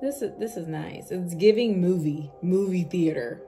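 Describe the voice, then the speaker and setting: A woman's voice: lowish voice, normal speed, mellow. A woman giving a casual, slangy music reaction, repeating phrases for emphasis. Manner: slangy, informal, emphatic repetition. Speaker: reaction video host.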